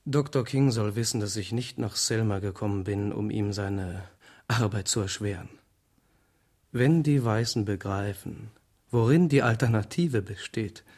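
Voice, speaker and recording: A husky, intense activist leader. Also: male voice, on the low side, darkish tone. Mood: courteous, controlled. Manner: formal and measured, insistent with emphatic repeats. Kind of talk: German radio drama acting.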